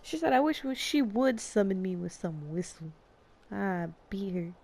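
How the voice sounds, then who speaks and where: A woman's voice: mid-range voice, expressive, moderate pace, darkish tone. A woman doing casual, slangy, playful live commentary as she streams gameplay.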